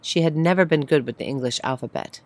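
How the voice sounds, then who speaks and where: A woman's voice: sits low, fast talker, no echo. A woman reading a short story aloud as a scripted narrator. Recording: faint background noise.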